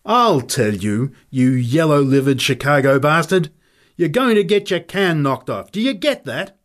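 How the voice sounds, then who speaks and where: A man's voice: on the low side, steady tone, measured pace, husky. A man voicing a belligerent, punch-drunk little former boxing champion in a story reading, aggressive and taunting as he swears. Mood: menacing, taunting.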